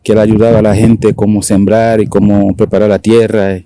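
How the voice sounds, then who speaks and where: A man in his forties from Haiti, speaking Spanish with a Dominican accent, talking haltingly with many fillers as an interview guest. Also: lowish voice, little pitch movement, dark tone. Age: 40s. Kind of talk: casual conversational interview.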